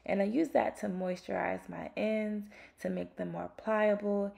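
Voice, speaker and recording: A young woman with a raspy voice, chatting casually through a hair tutorial at home, with lots of ums. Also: mid-range voice, a little slow. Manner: relaxed, chatty, peppered with ums. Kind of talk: casual how-to narration. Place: home bathroom, indoors.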